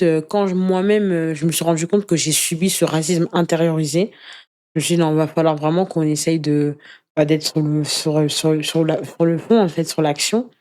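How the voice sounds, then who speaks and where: A woman giving personal testimony as an interview guest in casual conversation, earnest and halting, often repeating words as she searches for the next. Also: low voice, warm tone. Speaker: interview guest, anti-racism activist.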